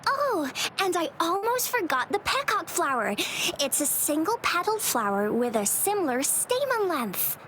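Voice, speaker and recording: A modest young plant expert in a video game. Her voice is high and swings expressively as she explains in careful detail, with faint ambient noise behind. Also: sing-song, moderate pace, a bit bright, exaggerated voice. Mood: absorbed, informative. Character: shy, studious young woman botanist, eager expert.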